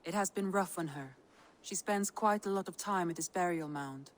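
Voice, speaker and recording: A woman playing a shrewd, composed Viking advisor in a video game, measured and knowing. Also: fairly low pitch, lightly acted. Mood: calm, advising. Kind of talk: video game cutscene acting.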